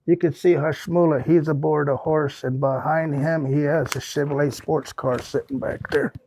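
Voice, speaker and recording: A man's voice: a little high, steady tone, normal speed, darkish tone, a little hiss or hum, low-quality recording. An older man from the Pacific Northwest telling folksy, rambling stories to an audience as a guest speaker. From Pacific Northwest, United States. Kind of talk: storytelling talk with audience questions. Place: small hall with audience.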